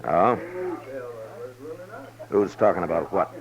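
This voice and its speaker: An actor playing a weary, stoic frontier marshal in an old radio western, speaking in terse, understated lines. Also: male voice, deep voice, takes it slowly, crowd noise. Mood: guarded, resigned. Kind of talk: 1950s radio western acting. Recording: dull old radio drama recording.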